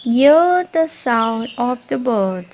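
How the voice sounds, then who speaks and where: A woman leading a guided meditation, giving repetitive, soothing instructions with deliberate pauses. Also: on the high side, lively intonation, a little mellow. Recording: muffled lo-fi, noisy, birdsong behind. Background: birdsong and ambient nature sounds.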